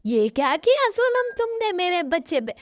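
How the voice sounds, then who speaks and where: A woman's voice: very high voice, very animated, moderate pace, no echo, clean studio sound, voice pushed up. A woman voicing a frantic, doting mother crow, wailing and indignant in a cartoon story.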